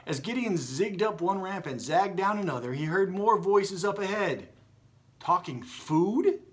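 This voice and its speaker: A man hosting a children's read-aloud, moving between expressive storytelling and casual chat. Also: high voice, normal speed. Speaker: story reader and host.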